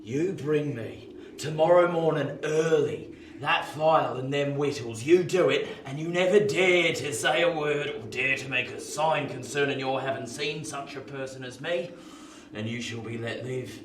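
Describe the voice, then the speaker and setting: A man playing a rough, desperate escaped convict in a radio play, with an English accent and a threatening, commanding manner. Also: medium pitch, measured pace, close to an everyday voice. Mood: gruff menace. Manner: threatening, barking orders. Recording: echoey, distant, clearly noisy.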